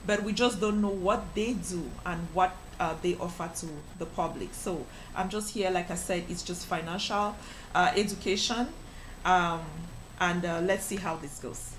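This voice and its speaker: A woman's voice: fairly low pitch, steady tone, normal speed, a little hiss or hum, poor audio. A woman from Cameroon, a financial professional, answering interview questions in an earnest, explanatory way with many fillers.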